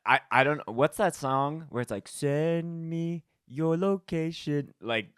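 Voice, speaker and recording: A man's voice: on the high side, takes it slowly, fairly bright tone, clean tone, no echo, studio quality. A man co-hosting a podcast in a casual, informal and chatty way. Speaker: podcast co-host.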